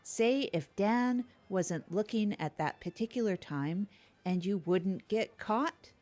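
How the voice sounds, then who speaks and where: A woman's voice: medium pitch, expressive, takes it slowly, a little mellow. A woman co-hosting a comedy podcast, improvising in an earnest, rambling way. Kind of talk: improvised comic banter.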